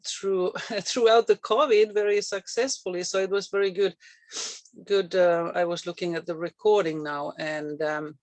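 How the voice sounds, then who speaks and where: A man from Finland with a Finnish accent joins a class discussion over a video call. His voice sits high and gravelly, and the sound is close and dry. He is eager but halting, restarting as he searches for words. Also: no room sound. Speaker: real estate agent.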